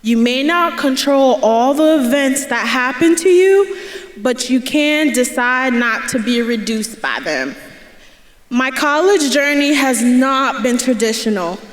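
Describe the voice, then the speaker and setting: Young woman student speaker, high-pitched and unhurried, reading a heartfelt speech in a long-reverb hall. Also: expressive. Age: young adult. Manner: earnest, laughs between lines, raises voice to cheer the crowd. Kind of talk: prepared commencement speech. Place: large indoor ceremony hall. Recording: echoey hall with crowd ambience.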